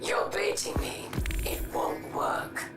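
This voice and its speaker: An actress voicing a bitter, defiant female alien warlord in a game, in a breathy rasping whisper, cold and guarded. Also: over music, close to an everyday voice. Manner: slow, hissed, cold. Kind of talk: scripted game drama. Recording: echoey game scene.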